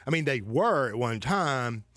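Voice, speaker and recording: A man's voice: mid-range voice, lively intonation, unhurried, a bit bright, no room sound, clean studio sound. A man with a Southern accent, a financial advisor, talking in a rambling way, restarting sentences and often asking "right?". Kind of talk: casual conversation.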